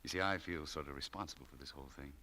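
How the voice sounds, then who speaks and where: An American actor playing a polite, awkward shop manager in 1940s radio drama, hesitant and stammering, on an old recording. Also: male voice, very deep voice, racing, bright, forceful tone, slight background noise. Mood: polite concern. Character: mild-mannered, awkward male shop manager visiting an ailing employee.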